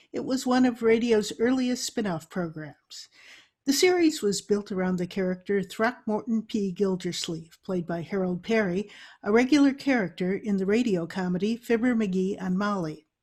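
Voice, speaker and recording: A woman's voice: mid-range voice, a little mellow. A woman reading a scripted old-time radio introduction as the show's announcer.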